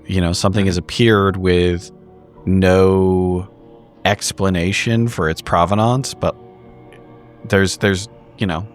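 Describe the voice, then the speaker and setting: A man playing a tabletop game, describing his character's choices conversationally in a reflective, halting way. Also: low voice, fairly even intonation. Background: background music.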